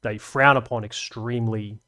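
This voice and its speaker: A man's voice: mid-range voice, steady tone, normal speed, pushed and bright. A young man hosting a live stream, rambling and swearing casually as he reads chat and laughs along.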